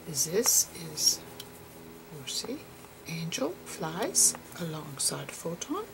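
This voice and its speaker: A woman voicing an eccentric, digressive physicist professor in a whispery, halting ASMR roleplay lecture. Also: deep voice, big pitch swings, noisy background, heavily acted. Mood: musing, pedantic. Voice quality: whispery, breathy. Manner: halting, pedantic, rambling.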